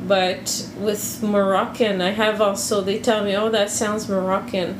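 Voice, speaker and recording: Hesitant woman, chatting casually, unhurried, roomy recording. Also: medium pitch, level tone. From Quebec. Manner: hesitant, many restarts and fillers. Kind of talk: casual chat. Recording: echoey room with background noise.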